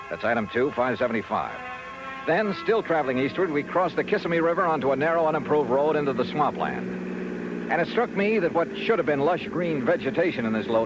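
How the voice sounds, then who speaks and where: Man playing a hardboiled investigator, lively pitch, loud music. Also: natural voice, low-quality recording. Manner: clipped, matter-of-fact, wry. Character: dry, hardboiled male insurance investigator. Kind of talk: 1950s radio drama narration.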